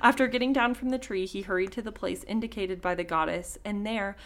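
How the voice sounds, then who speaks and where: A woman with a mid-range voice hosts a storytelling podcast. She moves between reading folktales aloud and casual, expressive chat. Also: medium pitch, fairly quick. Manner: informal, expressive asides. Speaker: podcast host and story reader.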